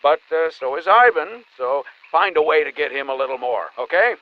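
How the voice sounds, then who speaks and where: High-voiced flaky spiritual boss on phone. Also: male voice, lively intonation, moderate pace. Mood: breezy, evasive. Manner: breezy, new-age earnest. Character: flaky, spiritual male agency boss, earnest and evasive. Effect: phone call filter. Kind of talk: comedy audio drama acting. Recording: dull band-limited phone call.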